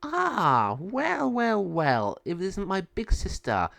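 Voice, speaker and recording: A man's voice: sits high, huge pitch swings, slow pace, vocal fry, a bit bright, no echo, voice pushed up, heavily acted. A man voicing a villainous, gloating sorceress in a storybook tale, with a drawling, taunting, cackling manner. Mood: smug, taunting. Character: villainous gloating sorceress sister, later giant raven.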